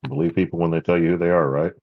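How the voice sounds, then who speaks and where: A man joining a livestream as a guest over a remote call, chiming in with animated, laughing remarks. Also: deep voice, very fast, gravelly, phone-quality sound. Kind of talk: livestream discussion.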